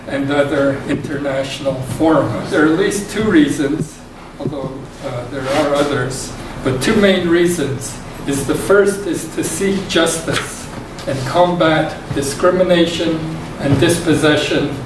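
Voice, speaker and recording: A soft-spoken man giving a measured public lecture. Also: a little high. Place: lecture hall. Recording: echoey hall, far from mic, noisy.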